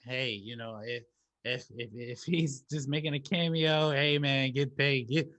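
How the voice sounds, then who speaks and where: A man's voice: mid-range voice, fairly even intonation, unhurried, a bit bright, muffled recording. A man hosting a casual movie talk show, slangy and emphatic, often stammering and repeating his words as he gives opinions.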